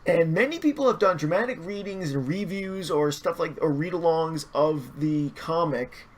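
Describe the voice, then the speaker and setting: A man chatting casually as a podcast guest, explaining things haltingly and rephrasing as he goes. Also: fairly high pitch.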